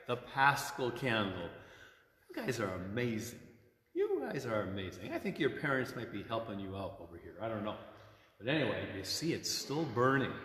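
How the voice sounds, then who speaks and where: A man's voice: mid-range voice, a bit bright. A man, a priest, teaching children informally about faith in simple words, with repetition and frequent checking questions. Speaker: priest hosting a children's church storytime. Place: inside a large church. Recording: very echoey church, long reverb, faint noise.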